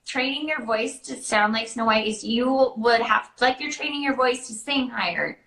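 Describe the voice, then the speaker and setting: A woman's voice: on the high side, normal speed, creaky, a bit bright, roomy sound, poor audio. A woman hosting a casual live chat, explaining things informally as she answers viewer questions. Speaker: children's party princess performer and live-stream host.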